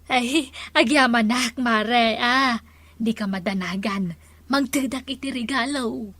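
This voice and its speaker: Chatty village shopkeeper for radio comedy: superstitious, exclamatory, high-pitched, bright. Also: female voice, slow, no room sound. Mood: scheming. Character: animated, superstitious village woman running a small shop. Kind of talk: radio comedy drama acting.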